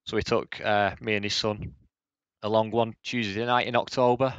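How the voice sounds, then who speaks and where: A man's voice: fairly low pitch, flat delivery, moderate pace, a bit bright, clean tone, no echo, muffled recording. A man in his thirties from England, co-hosting a podcast chat, hesitant and often repeating words as he speaks. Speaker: podcast co-host. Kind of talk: casual chat.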